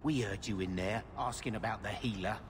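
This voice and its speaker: An actor playing a rough, threatening refugee thug in a fantasy video game. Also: male voice, on the low side, fairly quick, lightly acted. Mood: menacing. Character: menacing, rough refugee thug, protective man. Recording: loud background noise.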